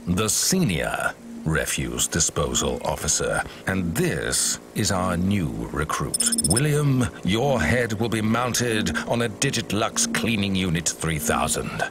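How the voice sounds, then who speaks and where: An actor playing a self-important senior cleaning robot, officious and pompous, in a video game. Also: male voice, low voice, very animated, takes it slowly, background noise, exaggerated voice. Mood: officious, proud.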